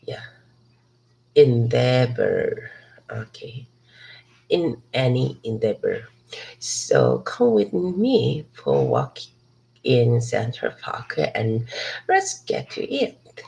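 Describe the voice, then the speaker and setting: Slow, gravelly, low-voiced woman. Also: very animated, dark tone, poor audio. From Hong Kong. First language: Cantonese. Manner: halting, restarts and repeats words to correct herself. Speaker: ESL student giving a speech. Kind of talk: rehearsed motivational speech. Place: outdoors in a city park.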